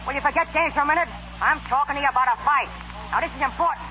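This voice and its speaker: High, exasperated New York fight manager. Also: male voice, very fast. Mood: pleading, exasperated. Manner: slangy, badgering, emphatic. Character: exasperated, streetwise Brooklyn boxing manager, wheedling and scolding. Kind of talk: 1930s radio comedy acting. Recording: muffled, noisy 1930s radio recording.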